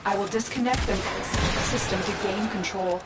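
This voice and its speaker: A woman's voice: mid-range voice, normal speed, natural voice. A woman playing a composed, protective commander who gives earnest, urgent mission guidance in a video game. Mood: earnest urgency. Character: calm, guiding female commander, protective and urgent. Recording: loud music behind the voice. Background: loud game music.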